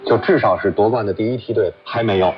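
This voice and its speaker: A man's voice: on the low side, fast, slight background noise. A man hosting a casual, conversational sports discussion, heard over muffled shortwave radio. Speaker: sports talk host. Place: radio broadcast picked up on shortwave receiver.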